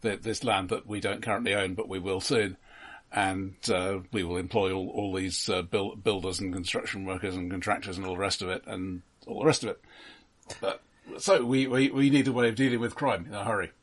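A man co-hosting a casual film discussion podcast, halting as he talks and often stammering over repeated words. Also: sits low, steady tone. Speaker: podcast co-host.

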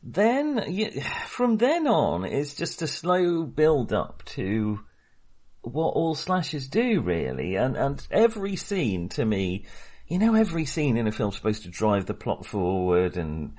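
A man's voice: medium pitch, huge pitch swings, unhurried, darkish tone. A middle-aged man co-hosting a casual film discussion, talking in a wry, hesitant, self-correcting way. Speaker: film podcast co-host.

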